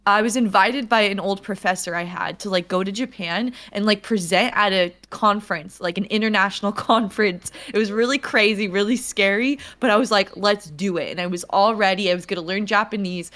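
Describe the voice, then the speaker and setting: Young woman guest, mid-pitched voice, bubbly and chatty, filler-heavy. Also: brisk, few pauses, a bit bright. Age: young adult. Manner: bubbly, laughs often, heavy use of "like". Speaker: podcast guest, streamer. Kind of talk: casual chat.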